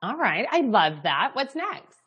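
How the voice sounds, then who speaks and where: A woman's voice: fairly high pitch, sing-song, unhurried, breathy edge, muffled recording. A woman hosting a TV show, bubbly and enthusiastic as she interviews a guest in the studio. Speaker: TV show host. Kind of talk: TV host interview.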